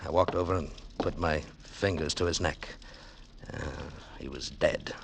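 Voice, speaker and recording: An actor in 1940s radio style playing a wry, world-weary adventurer, terse and measured, on a noisy vintage recording. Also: male voice, rumbling low, steady tone, breathy edge, heavily acted. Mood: tense, reflective. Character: hard-boiled, wry male adventurer narrating his tale. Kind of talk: 1940s radio acting and first-person narration.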